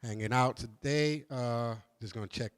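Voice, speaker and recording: A man's voice: mid-range voice, normal speed, smooth. A man from New York hosting a casual talk show, chatting loosely, laughing often and repeating words as he goes. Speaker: host. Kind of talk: casual chat. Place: studio.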